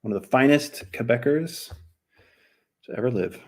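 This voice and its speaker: A man's voice: medium pitch, lively intonation, moderate pace, darkish tone. An American man from New Mexico, chatting casually and rambling in a self-deprecating way while he draws on a live stream. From New Mexico, USA. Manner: rambling, self-deprecating, slips into other languages. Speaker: cartoonist streaming while drawing.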